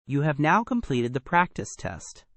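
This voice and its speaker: A man's voice: on the high side, sing-song, normal speed, a bit bright, no echo. A man working as an announcer, reading a scripted promotional outro.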